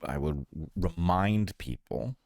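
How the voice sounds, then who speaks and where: Man, very deep pitch, analytical podcast talk, argumentative, deliberate emphasis, dry acoustics. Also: expressive, vocal fry, a little mellow, dry recording. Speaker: podcast co-host, biologist.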